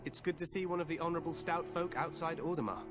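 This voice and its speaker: A man's voice: fairly high pitch, fast talker, close to an everyday voice. An actor playing a cheerfully overconfident king eager for glory in a fantasy game, with a hearty, regal yet informal manner. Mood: jovial. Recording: orchestral game music behind voice. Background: music.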